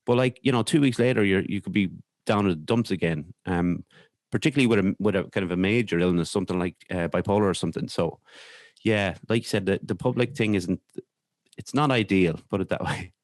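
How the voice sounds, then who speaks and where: An Irish man speaking candidly as a podcast guest, in a hesitant, halting way with repeated words. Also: low-pitched, dry recording. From Ireland. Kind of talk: candid conversational interview.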